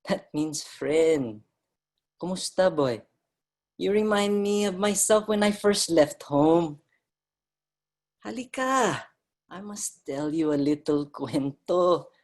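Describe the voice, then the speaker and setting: The restless ghost of an immigrant writer played by a man tells his story in a staged monologue. He speaks slowly, addressing the listener directly and drawing them in. Filipino words slip into his speech. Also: on the high side, expressive, darkish tone. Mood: friendly. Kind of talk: theatrical monologue in a staged reading.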